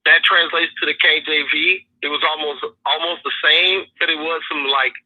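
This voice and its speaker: A man calling in to a podcast over the phone, speaking earnestly and haltingly with many fillers. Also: a little high, steady tone. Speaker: guest calling in. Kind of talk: casual podcast conversation. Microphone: phone call. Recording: tinny phone line, husky.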